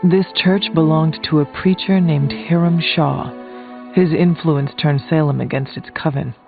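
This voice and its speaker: A man voicing a solemn, determined warrior hunter from the past in a video game, with a serious, formal and deliberate delivery. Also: a little high, fairly even intonation, vocal fry, phone-quality sound, faint music behind. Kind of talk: video game character dialogue.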